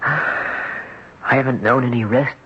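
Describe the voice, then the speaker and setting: An actor in a 1970s radio drama playing a defiant, plainspoken young American surfer. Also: male voice, mid-range voice, slow pace, sharp tone, slightly rough, full character voice. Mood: weary, brooding. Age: young adult. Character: defiant, wry young working-class surfer suitor. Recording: noisy, dull old radio broadcast.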